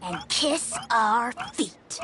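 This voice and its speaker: A woman's voice: fairly high pitch, lively intonation, very slow, a crowd in the background. A woman voicing a tiny, boastful, bratty male dragon lord in a video game, ranting pompously. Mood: gloating, mocking. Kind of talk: video game character acting.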